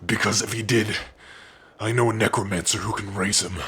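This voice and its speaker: A man voicing a gruff, fierce but tender orc warrior in an ASMR roleplay, with a husky, gravelly voice. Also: on the low side, steady tone, a little fast, a bit bright. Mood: relieved, tender. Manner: menacing to foes, tender with loved one.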